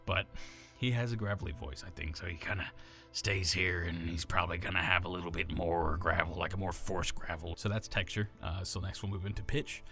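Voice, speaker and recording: A man from the American South with a neutral accent and some natural gravel in his voice, casually explaining how he builds a character voice. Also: deep voice, steady tone, fairly quick, few pauses, bright, forceful tone, faint music behind. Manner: casual, rambling, many fillers and restarts. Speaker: voice actor.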